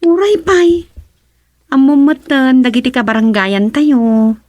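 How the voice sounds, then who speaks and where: A woman's voice: sits high, expressive, measured pace, a little mellow, no room sound. A woman playing a worried, fretful townswoman in an Ilocano radio comedy drama, speaking with an Ilocano accent. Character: worried, fretful townswoman wary of illegal recruiters.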